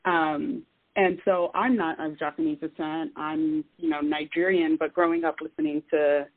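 Radio guest with an even mid-range voice, earnest and measured, heard on a dry phone-like line. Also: medium pitch, no room sound. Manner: earnest, measured, with many fillers. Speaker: guest artist. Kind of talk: casual interview talk.

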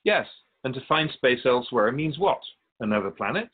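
A man playing a wise otherworldly keeper of souls in a radio drama, speaking in a measured, philosophical, instructive way. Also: mid-range voice, sing-song, phone-quality sound. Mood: gently probing. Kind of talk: radio drama dialogue in interview form.

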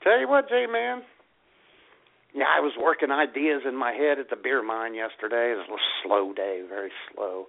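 Tinny-sounding American man hosting, lively range. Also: medium pitch, normal speed, vocal fry. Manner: irreverent, mildly profane, exclamatory. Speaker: radio show co-host. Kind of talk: casual comedic banter. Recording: tinny band-limited radio sound.

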